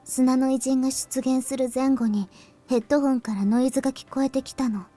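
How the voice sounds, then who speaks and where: Sombre teen schoolgirl, visual novel, soft mellow voice, breathy, hushed measured delivery, fairly high. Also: steady tone. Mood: serious, deducing. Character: grave, quiet teenage schoolgirl with a sombre streak. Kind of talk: Japanese visual novel voice acting.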